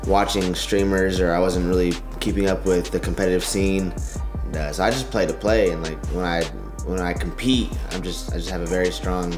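A young man with a very low, deep voice talks calmly and reflectively about gaming, sounding plain-spoken and at ease. Loud background music sits under his words. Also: a little fast. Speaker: esports player. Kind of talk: documentary interview.

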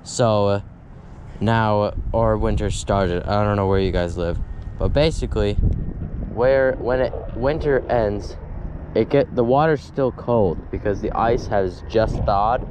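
A man's voice: on the low side, takes it slowly. A young man chatting casually as he fishes, rambling and correcting himself as he talks into an action camera outdoors by a windy pond. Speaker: fishing vlogger. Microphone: action camera, head-mounted and handheld.

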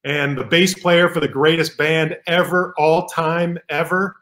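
A man's voice: on the high side, steady tone, a little slow, fairly bright tone. A middle-aged man, a physician, giving an earnest talk over slides on a video call, with many ums and restarts. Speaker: physician keynote speaker. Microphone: video call webcam.

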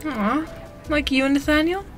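An actress playing a pushy, teasing coffee shop worker in an audio drama, blunt and sardonic. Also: female voice, sits high, normal speed, over music, close to an everyday voice. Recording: noisy background ambience.

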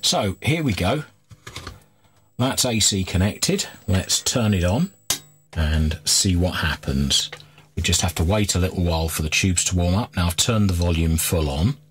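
Deep-voiced middle-aged man. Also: lively intonation, a little slow, over music. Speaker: host of a radio repair video. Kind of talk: informal hands-on repair commentary. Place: small cluttered workshop, indoors.

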